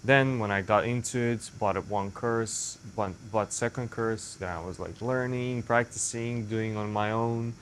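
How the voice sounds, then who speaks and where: A man's voice: lowish voice, fairly even intonation, unhurried, hardly any pauses, a bit bright, a little hiss or hum. A young man from Serbia with a Serbian accent, speaking English as a second language in a rambling, self-correcting casual monologue to the camera. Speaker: vlogger.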